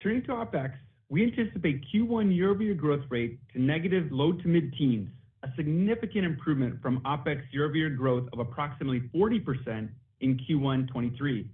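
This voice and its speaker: A man's voice: fairly high pitch, lively intonation, brisk. A male finance chief reading a scripted financial report on an earnings call. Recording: narrow phone-like conference line.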